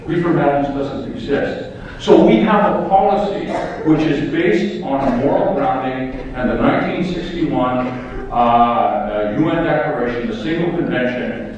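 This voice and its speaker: A man's voice: a little high, a little mellow, slight background noise. Older man rallying a distant hall. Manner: emphatic, rallying, rhetorical questions. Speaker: public health official giving a conference talk. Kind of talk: impassioned conference speech. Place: large lecture hall. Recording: echoey hall, distant mic, dull sound.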